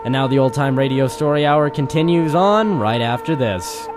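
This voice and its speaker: A man's voice: mid-range voice, normal speed, music in the background, lightly acted. An actor playing an announcer and newsman, in the style of old-time radio. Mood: brisk, dramatic.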